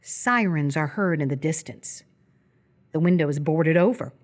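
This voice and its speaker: A woman's voice: low-pitched, lively intonation, measured pace. A woman narrating scripted stage action for a live radio theatre piece.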